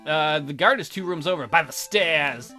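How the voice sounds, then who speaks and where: Animated high-pitched man reading a game aloud. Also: normal speed. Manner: jokey, animated, goofy asides. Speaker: let's play host. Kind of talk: reading a visual novel aloud with ad-libbed jokes. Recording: background music under voice.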